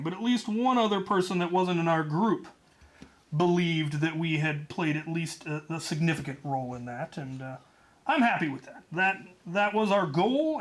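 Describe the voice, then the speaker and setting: A man telling a story in a casual, rambling way as host, leaning deliberately on his key points. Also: sits high.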